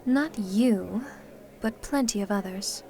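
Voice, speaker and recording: A woman's voice: medium pitch, takes it slowly, warm tone, faint music behind. A woman playing a refined vampire lady of a castle in an ASMR audio roleplay, speaking with cool, formal courtesy. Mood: disapproving.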